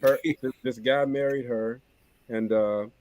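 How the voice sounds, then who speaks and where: A man's voice: medium pitch, fairly even intonation, measured pace, highs cut off, a crowd in the background. A man hosting a casual live panel chat, telling a story with boisterous jokes. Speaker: host.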